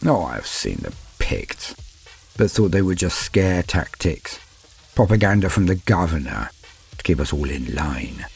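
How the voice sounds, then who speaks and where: Male narrator as despairing, awestruck soldier in audio war drama. Low, theatrical, deliberate delivery over music. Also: sing-song, gravelly, a little mellow, slightly rough, heavily acted. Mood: hushed dread. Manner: grave, theatrical, deliberate phrasing. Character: doomed human soldier, fearful, awestruck, despairing. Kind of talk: dramatic first-person scripted narration.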